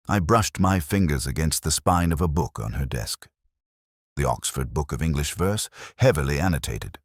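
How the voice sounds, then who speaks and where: A man performs a melancholy detective telling his case as scripted mystery narration. The voice is extremely deep yet moves expressively in pitch, close and dry in sound. The phrasing is deliberate, literary and brooding. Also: dry recording. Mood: brooding, observant.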